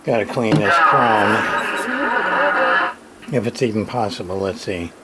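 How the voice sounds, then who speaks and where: A man giving a casual hands-on repair demonstration, muttering to himself in halting short phrases with plain, folksy, mildly salty wording. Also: fairly low pitch. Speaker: radio repairer, channel host. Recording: clearly noisy room recording, background ambience.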